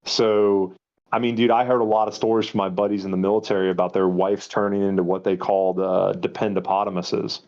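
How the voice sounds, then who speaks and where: A man's voice: sits low, little pitch movement, fairly quick, darkish tone. A man raised in the US South, with a toned-down Southern accent, trading crude, profanity-laced jokes in a casual live chat. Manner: crude, profane, joking banter. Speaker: chat participant. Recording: dull lo-fi audio.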